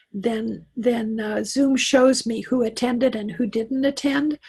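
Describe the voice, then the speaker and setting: A woman's voice: on the high side, a little mellow, breathy edge, low-quality recording. A middle-aged woman from Wyoming, a computer coach chatting through a live online tech class, reassuring and full of fillers and restarts. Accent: Western American with a slight Southern tinge. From Wyoming, USA. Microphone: computer mic on a video call.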